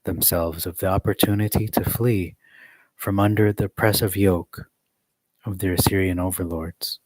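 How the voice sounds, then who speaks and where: A man reading a study text aloud in a measured way, adding occasional joking asides. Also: low voice, steady tone, a little mellow, no echo, rough recording.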